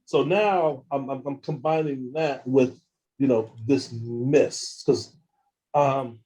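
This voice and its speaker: A man telling his own experiences as an interview guest, halting and often repeating words as he restarts sentences. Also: medium pitch, mellow, low-quality recording. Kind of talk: casual first-person account.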